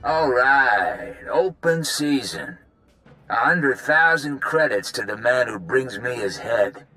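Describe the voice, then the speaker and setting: A man's voice: medium pitch, expressive, takes it slowly, sharp tone, soft background music. An actor playing a menacing male crime boss in a video game, taunting and threatening. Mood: commanding. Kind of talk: video game cutscene acting.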